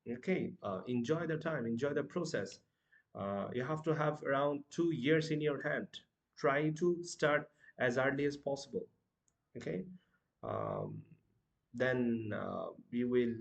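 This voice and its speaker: A man's voice: medium pitch, vocal fry, dull audio. Hesitant Bangladeshi-accented young man. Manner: hesitant, frequent "uh" and "you know", self-correcting. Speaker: language-learning vlogger. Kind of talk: casual advice monologue.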